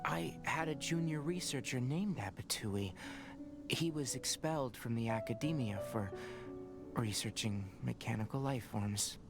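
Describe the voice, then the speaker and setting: A man voicing a calm young scholar who explains things in a measured, composed way in a video game. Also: medium pitch, natural voice. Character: calm young male scholar and forest ranger. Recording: game dialogue over music.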